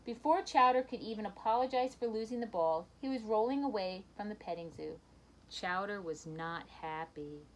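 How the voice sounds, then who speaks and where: A woman reading a children's picture book aloud in an expressive storytelling manner, with brief asides to her listeners. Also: mid-range voice, moderate pace, poor audio.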